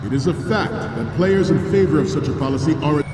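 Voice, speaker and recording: A man's voice: fairly high pitch, brisk, over a noisy crowd, natural voice. A man playing a stern, proud military commandant in a video game, delivering a formal, declamatory speech. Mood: stern, resolute. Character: stern, proud male Marine Corps commandant. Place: echoing large hall, heard from far off. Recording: distant, echoey large hall, background noise.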